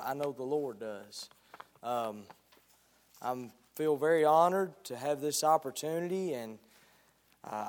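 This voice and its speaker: A man preaching a sermon as a guest preacher, slightly hoarse, starting with halting remarks and building into a rising preaching cadence while reading scripture. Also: medium pitch.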